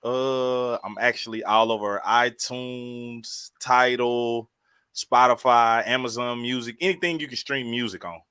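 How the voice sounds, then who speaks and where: A man's voice: medium pitch, fairly even intonation, a little slow, sharp tone, smooth, no echo. A man chatting casually as a dating show contestant, in short informal phrases. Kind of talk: casual chat.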